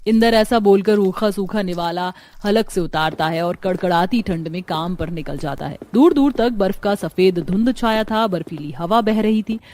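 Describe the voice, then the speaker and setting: A woman's voice: mid-range voice, moderate pace, few pauses, darkish tone. Woman narrating a dramatic story.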